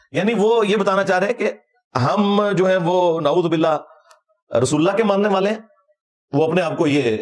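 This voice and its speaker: A man with a Pakistani Punjabi accent giving informal religious commentary in an argumentative, sarcastic, colloquial way. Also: high-pitched. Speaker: religious lecturer.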